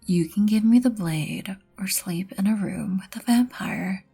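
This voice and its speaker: Breathy, slow-spoken vampire queen, acted by a woman. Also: medium pitch, soft background music, exaggerated voice. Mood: wry, imperious. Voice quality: breathy, often near whisper. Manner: poised, haughty, measured, soft-spoken. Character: regal, composed female vampire queen, proud and wryly merciful. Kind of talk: ASMR roleplay acting.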